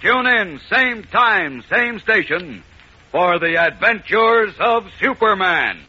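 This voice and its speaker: A man's voice: sits high, expressive, takes it slowly, husky. A man narrating a 1940s radio serial in a dramatic scripted style. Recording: muffled vintage radio broadcast.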